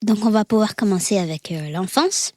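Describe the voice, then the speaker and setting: Woman host, measured scripted delivery. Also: mid-range voice, lively intonation, a little mellow, no room sound, studio quality. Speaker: podcast host. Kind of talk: scripted intro narration and interview questions.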